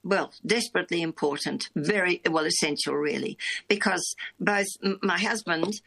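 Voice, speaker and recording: A woman's voice: fairly low pitch, normal speed, vocal fry, a bit bright, slightly rough. A woman in her nineties from New Zealand, a guest on a radio interview, talking in a reflective, meandering way with many restarts. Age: 90s. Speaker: guest, founding member of a broadcaster supporters' group.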